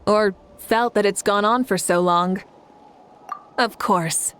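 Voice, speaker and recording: A woman's voice: medium pitch, slow, fairly bright tone, a little hiss or hum. A woman voicing a confident, proud female war leader with an assured, commanding manner in a video game. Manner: assured and commanding, laughs easily.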